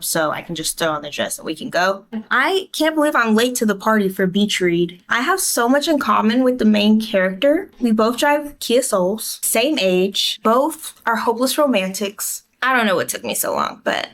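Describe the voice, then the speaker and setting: A woman's voice: medium pitch, fairly bright tone. An American woman chatting casually to camera as a book vlogger, in a laid-back, conversational way.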